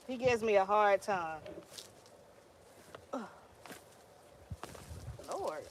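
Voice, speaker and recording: A woman's voice: medium pitch, noisy background. A young woman with a Texan accent, chatting casually on a live stream from her garden, greeting viewers and telling stories in Southern slang. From Texas, US. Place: outdoor garden.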